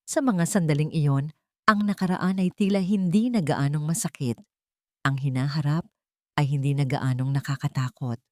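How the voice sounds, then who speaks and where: A woman narrating a scripted, sentimental drama story in an earnest, measured and solemn manner. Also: deep voice, dark tone, no room sound, clean studio sound. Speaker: story narrator and channel host.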